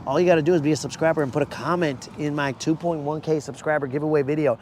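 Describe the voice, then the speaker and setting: A man hosting a live fishing stream from a boat, talking casually with viewers in a hoarse voice. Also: on the high side, fairly even intonation, fast, a little mellow, a little hiss or hum. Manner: casual, slangy, calls viewers bro. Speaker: fishing channel host. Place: outdoors on a boat on a lake.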